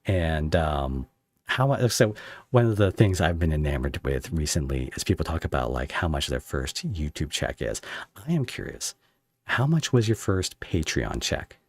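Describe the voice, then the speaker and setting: Low-voiced man hosting a casual interview. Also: sing-song, normal speed, slightly rough, no echo. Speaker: podcast host and interviewer.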